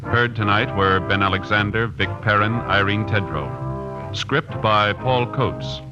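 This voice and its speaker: Formal male radio announcer over loud music. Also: fairly low pitch, unhurried. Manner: formal, crisp phrasing, official. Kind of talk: 1950s radio scripted announcing. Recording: muffled old radio broadcast recording.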